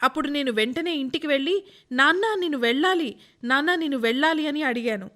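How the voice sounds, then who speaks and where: A woman acts out a young female athlete in a dubbed radio interview. Her voice is very high and sharply bright. She answers earnestly and respectfully, like an eager junior addressing an elder. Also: animated, brisk. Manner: deferential, earnest. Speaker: reader voicing an interviewed athlete.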